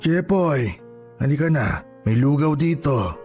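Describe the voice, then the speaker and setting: A man's voice: a little high, lively intonation, measured pace, darkish tone, soft background music. A man playing a poor, exasperated, tearful old grandfather in a Tagalog radio drama, with a Filipino accent and an emotional way of scolding and pleading. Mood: coaxing. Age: older.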